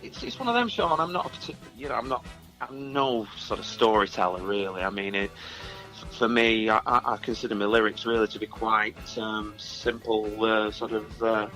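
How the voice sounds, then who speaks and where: A man's voice: fairly low pitch, normal speed, bright, forceful tone, soft background music, rough recording. A man chatting casually as a musician guest, hesitant and self-effacing about his work. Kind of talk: casual interview chat.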